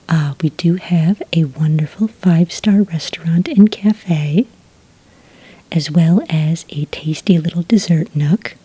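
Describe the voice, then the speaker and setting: A woman speaks in a low, extremely breathy whisper with a soft, dark tone. She plays a friendly witch working a hotel front desk in an ASMR roleplay. Her manner is gentle, polite and helpful. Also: low-quality recording. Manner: gentle, polite, customer-service phrasing.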